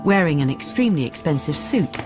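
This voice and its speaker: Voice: mid-range voice, lively intonation, brisk, music in the background. A narrator delivering scripted radio drama narration.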